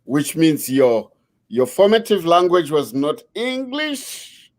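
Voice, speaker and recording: A middle-aged man hosting an interview, a father of three, speaking deliberately with frequent fillers as he frames his questions. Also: on the high side, big pitch swings, darkish tone. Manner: slow, deliberate, with many fillers. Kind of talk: conversational interview.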